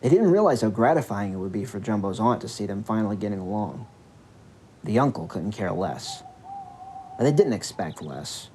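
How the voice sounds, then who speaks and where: A man's voice: lowish voice, big pitch swings, normal speed, a little mellow, a little hiss or hum, rough recording. A man reading a scripted story as its narrator, with music behind his voice.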